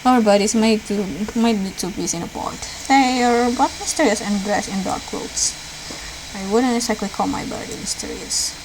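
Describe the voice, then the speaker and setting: A woman's voice: medium pitch, normal speed. Woman on a noisy recording. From Indonesia. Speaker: let's player reading visual novel dialogue aloud. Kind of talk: read-aloud game dialogue, acted lightly.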